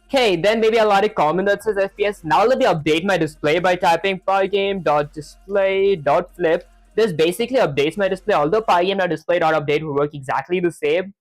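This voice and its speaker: A man's voice: sits high, a little fast, hardly any pauses, poor audio. A young man live-streaming his programming practice, talking casually and rambling with lots of filler words while he reads chat. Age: young adult.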